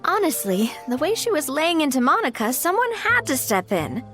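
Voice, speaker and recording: A kind young woman in a game, her voice fairly high and lively. She sounds easygoing and reassuring, chatting with her companions. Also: normal speed, soft background music. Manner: warm, reassuring, conversational. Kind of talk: game voice acting.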